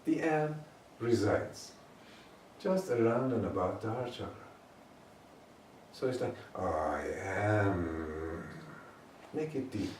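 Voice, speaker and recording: A man leading a guided meditation, slowly drawing out and chanting short phrases. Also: medium pitch, expressive, a little mellow, a little hiss or hum. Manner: slow, drawn-out chanting of phrases. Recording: echoey room, mic at a distance.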